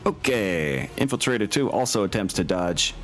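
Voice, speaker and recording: A very low-voiced man runs a tabletop game as game master. He talks casually and hesitantly, thinking aloud between bits of narration, with music playing behind him. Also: lively intonation, normal speed.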